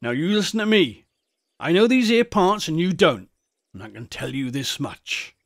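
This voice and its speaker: A man's voice: on the high side, very animated, normal speed, pitched above the performer's own voice. Man voicing a grave old English country shepherd. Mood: grave warning. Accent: rustic English country dialect. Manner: folksy, grave, emphatic.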